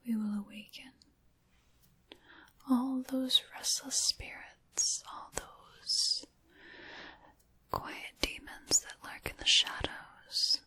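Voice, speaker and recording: A woman voicing a sinister, mock-courteous dark witch for an ASMR roleplay, in a breathy whisper with theatrical, formal wording. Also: sits high, exaggerated voice. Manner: slow, theatrical, formal old-fashioned wording.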